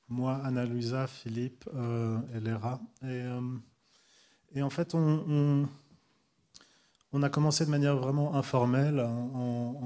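A man in his thirties from Switzerland giving an architecture lecture in a large hall, talking haltingly with many fillers into a handheld microphone. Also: mid-range voice, a little mellow. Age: 30s. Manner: halting, restarts with frequent euh. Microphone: handheld microphone at a desk.

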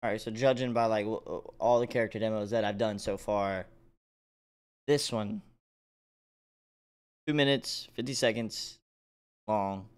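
A man hosting a reaction video, giving excited, casual commentary in slangy, exclamatory bursts. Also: fairly low pitch, measured pace, long pauses, smooth.

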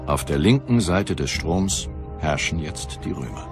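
A man with a very deep voice narrates a history documentary. He is serious and measured, telling events dramatically over a clear music bed. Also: expressive. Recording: music behind the voice.

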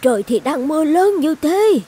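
Kindly old village midwife, high-voiced. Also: female voice, expressive, moderate pace, warm tone, slight background noise. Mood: anxious, astonished. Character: kind, selfless elderly village midwife and grandmother. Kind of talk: animated folktale dubbing.

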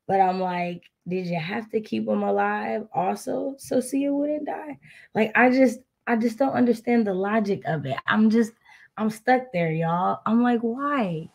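A woman's voice: a little high, a little slow, gravelly, darkish tone. A woman in a casual book chat over a video call, informal, circling back and posing rhetorical questions. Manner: informal, repeats herself, asks rhetorical questions. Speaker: book discussion panelist. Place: indoor room, video call.

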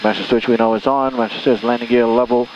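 A man's voice: mid-range voice, little pitch movement, fast, darkish tone, highs cut off. A middle-aged man working as a pilot, talking in short, repeated phrases through checklist steps and radio calls over an aviation headset. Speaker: pilot doing an aircraft checkout. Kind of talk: cockpit talk and radio calls. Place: small airplane cockpit in flight. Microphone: aviation headset boom mic. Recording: noisy aviation headset with engine drone. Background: engine noise, radio.

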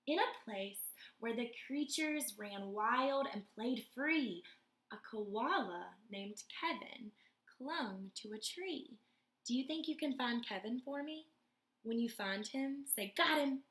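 A woman's voice: a little high, slow pace, echoey room, rough recording. A young woman reading a picture book aloud to children, stopping to ask them questions. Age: young adult. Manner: animated, asks children questions between pages. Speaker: YMCA storytime reader. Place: room in a house, indoors.

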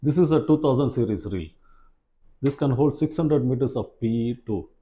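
A man in his forties presenting an instructional fishing demonstration in a measured, step-by-step way, recorded indoors. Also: medium pitch, expressive, warm tone. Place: small plain room indoors, seated at a table.

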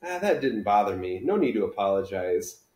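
A man's voice: fairly low pitch, very animated, normal speed, darkish tone. A man reading a children's book aloud as a bookish, literal-minded schoolboy with a precise, matter-of-fact manner. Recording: roomy living-room echo.

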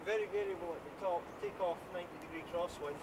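A man's voice: high-pitched, quick pace, a crowd in the background. A man chatting casually outdoors in a moorland car park, talking practically about camera gear. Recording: noisy outdoor camera audio.

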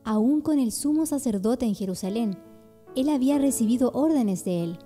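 A woman's voice: fairly high pitch, animated, moderate pace, dark tone, faint music behind. A woman giving sermon-like expository narration in a reflective way.

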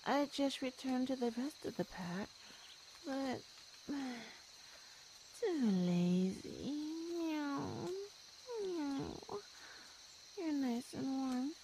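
Woman acting a slow-talking predatory cat monster girl. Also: on the high side, background noise, full character voice. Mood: sleepy, content. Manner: slow, menacing, teasing, with hums and sighs. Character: predatory feline monster girl, teasing and hungry. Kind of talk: audio roleplay, ASMR.

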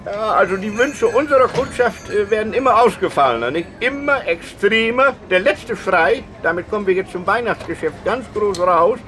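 A man's voice: high voice, animated, moderate pace, hardly any pauses, a bit bright. An actor playing a pedantic, easily flustered male gift-shop manager who keeps contradicting in a comic radio interview. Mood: proud.

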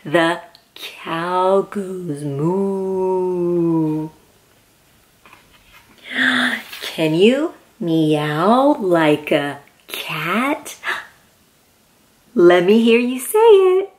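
A woman in her thirties reading a picture book aloud to young children in an excited, encouraging, exaggerated way. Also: lowish voice, very slow, pauses often. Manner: exaggerated, sing-song animal noises and questions. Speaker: children's read-aloud host. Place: indoor room with plain wall.

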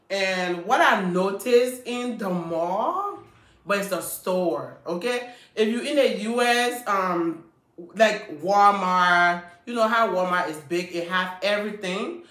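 A woman's voice: on the low side, a bit bright. A woman, vlogging casually and emphatically to the camera. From Liberia. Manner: chatty and emphatic, gestures while talking. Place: small indoor room. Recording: echoey small room.

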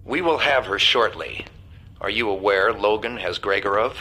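A blunt agency henchman in a video game. His voice is raspy and sharp, with a tense edge. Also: on the low side, normal speed, exaggerated voice. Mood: insistent. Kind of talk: dramatic video game acting.

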